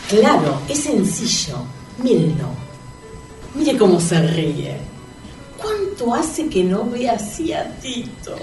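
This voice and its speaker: An actress playing a confident beauty therapist, explaining treatments and teasing in a comedy sketch. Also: female voice, mid-range voice, big pitch swings, takes it slowly, echoey room, recorded from a distance, music in the background. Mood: persuasive.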